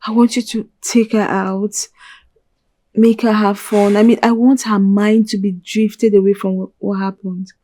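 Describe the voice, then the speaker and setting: An actress playing a wife gently coaxing her husband into favors. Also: medium pitch, little pitch movement, measured pace, no echo, clean studio sound, lightly acted.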